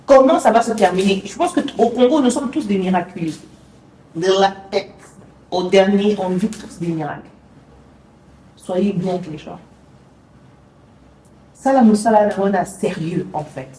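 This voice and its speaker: An earnest woman speaks as a guest on an interview podcast, reflective and encouraging. Her phrases often fade to a soft close. The sound is heavily reverberant and a little distant, with faint hiss underneath. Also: mid-range voice, a little slow, long pauses. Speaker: guest, television journalist. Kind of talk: motivational interview talk. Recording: reverberant room, distant mic.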